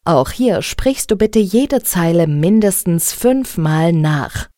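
Slow, precise German-speaking woman coach. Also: lowish voice, lively intonation, dark tone, dry recording, clean studio sound. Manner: slow, deliberate, clearly articulated instruction. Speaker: speech coach. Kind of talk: instructional speech exercise tutorial.

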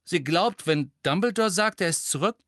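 A man's voice: sits high, animated, normal speed, fairly bright tone, no echo, clean studio sound, voice pushed up. A man voicing an earnest, loyal schoolboy in an audiobook, speaking simply and plainly. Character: plain-spoken, earnest, loyal schoolboy who trusts his granny. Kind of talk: audiobook character voice.

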